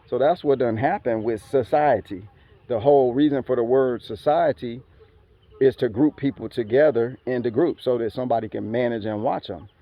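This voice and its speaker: A man's voice: mid-range voice, normal speed, dry recording. Slangy man rambling outdoors, lo-fi. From Philippines heritage, raised elsewhere. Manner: rambling, streetwise slang, talks back to animals. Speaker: vlogger. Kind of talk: casual outdoor monologue.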